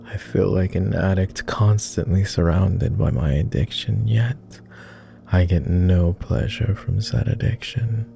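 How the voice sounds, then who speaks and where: A man playing a weary, courteous immortal vampire in intimate ASMR roleplay, speaking softly in formal, hesitant phrases. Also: sits low, gravelly, soft background music, full character voice. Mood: weary, bitter. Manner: formal, measured, hesitant with pauses. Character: weary, reserved, self-loathing male vampire, immortal, courteous.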